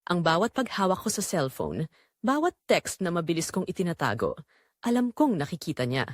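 A woman's voice: lowish voice, very animated, normal speed, no echo, clean studio sound. A woman narrating a scripted dramatic story in the first person.

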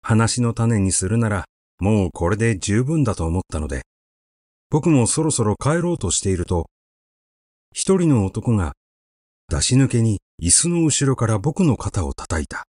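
Low-voiced male story narrator, lively intonation. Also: moderate pace, no room sound, studio quality. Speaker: audiobook narrator. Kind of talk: literary short story reading in the first person.

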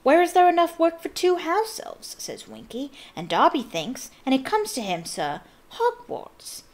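Lady doing a tiny squeaky boy elf from a storybook, super eager n polite, voice jumps up high. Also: huge pitch swings, a little slow, heavily acted. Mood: delighted, excited. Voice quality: squeaky, shrill. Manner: servile, third-person, broken grammar. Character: small eager male house elf, devoted and excitable.

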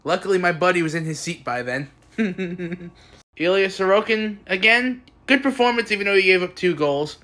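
A young man giving casual, excitable postgame recaps as a sports fan, recorded on a phone at home. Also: high voice, quick pace, few pauses. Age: young adult. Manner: excitable, exclamatory fan cheering. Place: small indoor room at home. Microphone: phone at arm's length.

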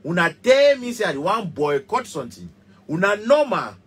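A man's voice: high-pitched, big pitch swings, normal speed, slightly rough. A man in his thirties with a Cameroonian Pidgin English accent, a musician speaking passionately and emphatically to camera from a small studio. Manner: passionate, emphatic, repetitive, gesturing. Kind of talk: heated direct-to-camera rant.

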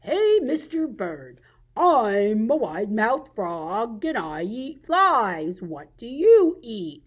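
A big-mouthed, cheerful frog played by a woman for a kids' puppet show. He declares himself very slowly and loudly, stretching out each phrase in a proud sing-song. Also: on the high side, big pitch swings, husky, heavily acted. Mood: boastful, cheerful. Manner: slow, drawn-out, emphatic sing-song declaring. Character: loud, cheerful, boastful male wide-mouthed frog. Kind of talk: children's puppet show storytelling.

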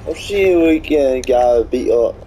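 A man's voice: mid-range voice, expressive, measured pace, dark tone, slight background noise, low-quality recording. A man giving casual, sparse commentary as he plays a video game.

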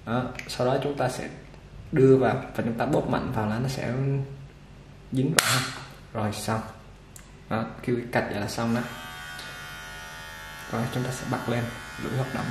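A man giving a casual unboxing demonstration of a hair clipper, talking relaxed with many fillers while he handles it. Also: mid-range voice, normal speed, long pauses. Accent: Vietnamese. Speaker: product reviewer for a barber supply shop. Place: indoor shop table with clipper boxes. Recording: echoey room with machine noise. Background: clipper motor buzz and clicks.

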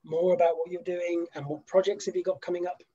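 Middle-aged male host, husky and warm-toned, affable, dull video-call sound. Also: high-pitched, little pitch movement, fast. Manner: affable, chatty, agreeable interviewer. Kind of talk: casual video call interview. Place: home office.

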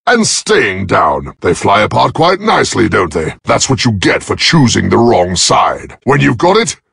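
A man playing a cocky, trigger-happy robot soldier in a video game, with a gruff, gravelly voice and a brash, wisecracking manner. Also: on the low side, sing-song, a little slow, fairly bright tone, no echo, studio quality, heavily acted. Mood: cocky, gloating.

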